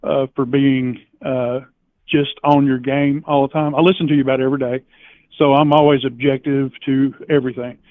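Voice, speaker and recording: A man's voice: mid-range voice, little pitch movement, moderate pace, dry recording. Hesitant male caller on a tinny phone line. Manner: hesitant, rambling, lots of fillers and restarts. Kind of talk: phone-in conversation.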